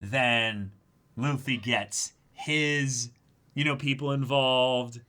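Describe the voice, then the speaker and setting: A man's voice: medium pitch, fairly even intonation, very slow, pushed and bright. A man co-hosting a livestream, chatting casually and enthusiastically as a fan. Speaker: livestream co-host.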